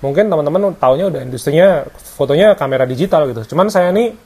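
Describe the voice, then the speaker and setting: A man's voice: fairly high pitch, lively intonation, fast talker, a little mellow, slightly rough. A man, talking casually to camera as a photographer vlogger, with frequent fillers and English words mixed in. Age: 30s. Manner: conversational, hesitant with fillers, mixes in English words. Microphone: clip-on lavalier.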